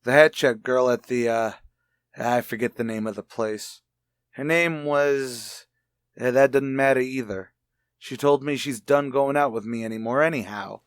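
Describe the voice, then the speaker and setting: A man's voice: mid-range voice, a little slow, no echo, close to an everyday voice. An actor playing a rich, philandering husband who callously taunts his wife in an old radio mystery drama. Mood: careless taunting.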